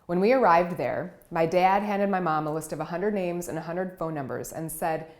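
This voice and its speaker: A woman's voice: fairly low pitch, moderate pace. A woman who grew up in Cameroon and Iowa, giving a personal storytelling speech as a keynote speaker. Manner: warm storytelling, vivid and expressive.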